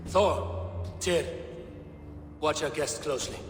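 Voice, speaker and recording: An actor playing a commanding, grimly resolute Norse high god with solemn, terse authority in a video game cutscene. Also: male voice, slow pace. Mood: stern, suspicious. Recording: long reverb with music behind.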